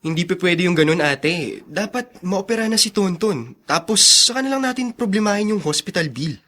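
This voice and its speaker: A man's voice: high voice, expressive, moderate pace, sharp tone, full character voice. A man playing a concerned, insistent younger brother in a radio drama.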